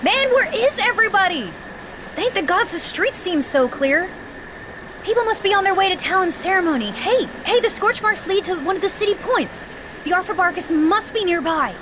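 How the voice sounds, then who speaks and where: A woman's voice: very high-pitched, lively intonation, normal speed, noisy background. An actress playing an anxious, earnest young woman, an aspiring beastmaster in an audio drama, with a flustered, urgent way of talking. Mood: curious, alert. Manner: flustered, breathless, stammering urgency.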